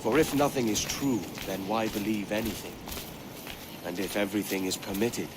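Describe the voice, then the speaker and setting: An actor playing a weathered pirate captain seeking redemption, speaking bluntly and reflectively. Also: male voice, fairly low pitch, moderate pace, background noise, close to an everyday voice. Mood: earnest.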